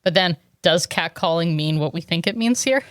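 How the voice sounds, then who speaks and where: A woman's voice: lowish voice, animated, moderate pace, dry recording, clean studio sound. A woman co-hosting a casual book podcast, chatty and analytical, with many "like"s. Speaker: podcast co-host. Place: home room with a cat.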